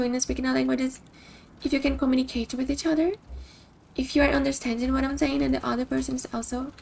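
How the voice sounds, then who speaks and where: Brazilian woman, high gravelly voice. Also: a little mellow, a little hiss or hum, poor audio. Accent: Brazilian Portuguese. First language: Portuguese. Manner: thoughtful, restarts sentences while searching for words. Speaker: streamer. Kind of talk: casual chat with stream viewers.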